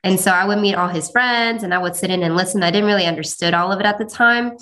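A woman's voice: medium pitch, fast, a bit bright. A young woman whose first language is Cantonese, answering interview questions modestly and laughing easily. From China. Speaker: interview guest.

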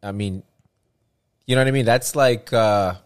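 A man's voice: on the low side, moderate pace, clear, resonant tone, dry recording, clean studio sound. A man talking in casual chat as a show host, rambling with many restarts and fillers.

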